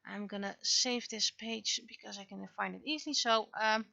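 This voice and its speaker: A woman livestreamer chatting casually and haltingly, muttering to herself as she thinks aloud, on dull lo-fi audio. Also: mid-range voice, creaky, pushed and bright, no room sound. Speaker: livestreamer, webcomic artist.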